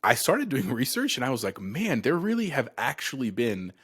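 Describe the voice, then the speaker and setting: A man's voice: medium pitch, huge pitch swings, normal speed, a bit bright, husky. A man in his thirties co-hosting a casual gaming podcast, speaking earnestly and with passion. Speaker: podcast co-host.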